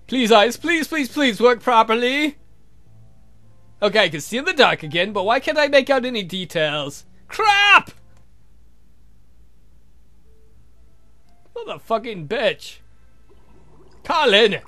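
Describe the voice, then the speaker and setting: Someone performing a whiny, melodramatic vampire count, swooping pitch. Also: sing-song, measured pace, pushed and bright, soft background music. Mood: frantic, exasperated. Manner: whiny, theatrical outbursts. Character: exasperated, melodramatic male vampire count with short temper.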